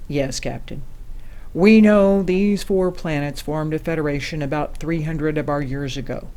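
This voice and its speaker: A woman playing a formal, measured ambassador briefing her crew in an audio drama. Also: deep voice, warm tone. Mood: formal, assured. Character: composed female ambassador leading a secret space mission.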